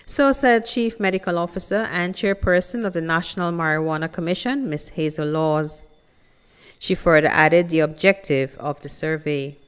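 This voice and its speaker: Lady reading the news, lowish voice, really clear. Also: normal speed. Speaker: news reporter. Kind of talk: scripted news narration.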